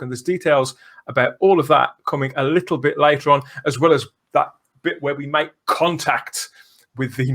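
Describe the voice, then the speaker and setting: A man's voice: medium pitch, fairly bright tone. A man hosting a fan podcast in a chatty, playful and effusive way. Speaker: podcast host.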